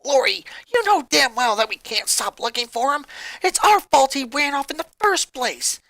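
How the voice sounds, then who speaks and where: A woman's voice: high-pitched, lively intonation, normal speed, gravelly, fairly bright tone, dry recording, pitched above the performer's own voice, exaggerated voice. A woman voicing an angry, worried teenage girl in a story reading.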